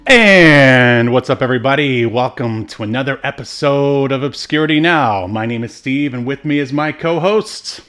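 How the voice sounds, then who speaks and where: A man co-hosts a casual podcast with an easygoing line in banter. His pitch sits in the middle for a male voice. He jokes along and chuckles often as he talks to his co-host. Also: moderate pace, hardly any pauses, a bit bright, soft background music.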